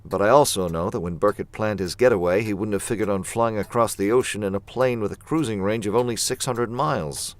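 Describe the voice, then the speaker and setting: An actor playing a composed, confident gentleman detective in an old-time radio drama. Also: lowish voice, fairly quick, hardly any pauses, lightly acted. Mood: assured. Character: composed, confident gentleman sleuth, man of reason.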